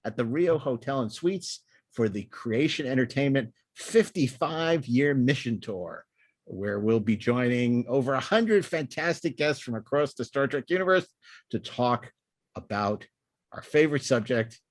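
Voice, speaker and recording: A man's voice: medium pitch, animated, unhurried, no room sound. A man hosting a podcast in casual, enthusiastic chat, rambling with repeated words and stop-start phrasing. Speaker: podcast host.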